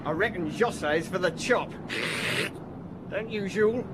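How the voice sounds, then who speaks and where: A street newspaper vendor in a radio drama. The voice is piercingly high, brash and teasing, projected like a hawker's. City traffic is clearly heard behind him. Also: male voice, unhurried. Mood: cheeky, teasing. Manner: hawking, shouting, cheeky.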